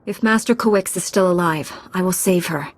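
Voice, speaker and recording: An actress playing a resolute, merciful Jedi Knight in a video game, speaking in an earnest, composed way. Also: female voice, lowish voice, moderate pace, close to an everyday voice. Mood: firm. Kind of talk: video game dialogue acting.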